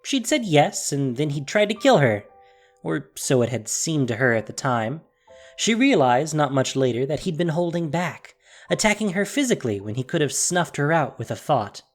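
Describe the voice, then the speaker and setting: Female narrator for fantasy audio drama: solemn, emphatic, with animated pitch movement over soft music. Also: moderate pace. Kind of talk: dramatic scripted story narration.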